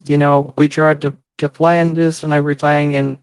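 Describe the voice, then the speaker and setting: A man's voice: fairly high pitch, level tone, moderate pace, a little mellow, clean tone, no echo, low-quality recording. Aggrieved man with a dry, close sound. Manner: profane, aggrieved, defensive. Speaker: livestream host. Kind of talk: casual livestream chat.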